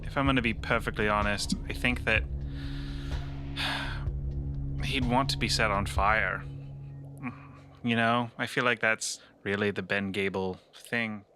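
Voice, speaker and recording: A man playing a tabletop roleplaying game, improvising in character in a halting, dry, wry way. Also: on the low side, fairly even intonation, music in the background.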